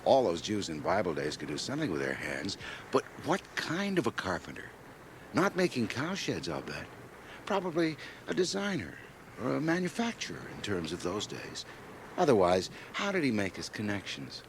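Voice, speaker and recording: A man's voice: lowish voice, wildly swooping pitch, normal speed, a little hiss or hum. An actor playing a glib, self-satisfied young man with ambition, breezy and pompous in radio drama dialogue. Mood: smug, expansive. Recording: 1980 radio drama, home cassette transfer.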